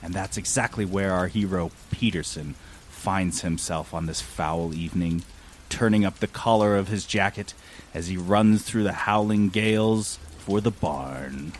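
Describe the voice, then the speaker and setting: A man's voice: sits low, measured pace. A man narrating a scripted radio play in a mock-serious storytelling manner. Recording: clear rain noise behind. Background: falling rain.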